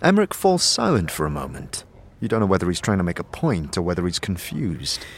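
Low-voiced, animated male fantasy narrator. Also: fairly quick, breathy edge. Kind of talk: dramatic scripted second-person fantasy narration. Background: music.

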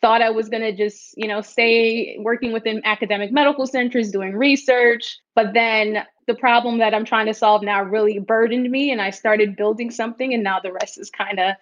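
A woman's voice: a little high, fairly even intonation, moderate pace, few pauses, muffled recording. A young woman from New York City with an American accent, speaking candidly and reflectively as a guest in a casual interview. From New York City, United States. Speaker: interview guest, startup founder.

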